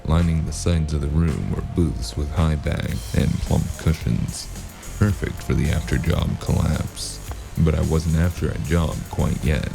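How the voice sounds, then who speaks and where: An actor playing a world-weary, terse and wry hero in a noir audio drama, with brooding, laconic delivery. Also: male voice, a bass voice, measured pace, gravelly, over music, full character voice. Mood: brooding narration. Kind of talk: noir first-person narration and dialogue.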